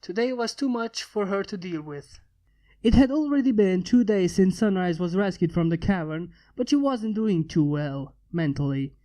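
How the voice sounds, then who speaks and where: A man's voice: high-pitched, animated, normal speed, mellow, low-quality recording. A man reading a fan fiction story aloud as scripted narration.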